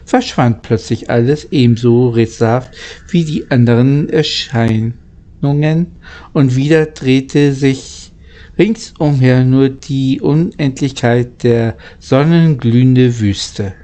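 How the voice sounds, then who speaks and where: A man reading a children's story aloud in a halting way, stumbling over words now and then. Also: mid-range voice, dark tone. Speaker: amateur audiobook reader.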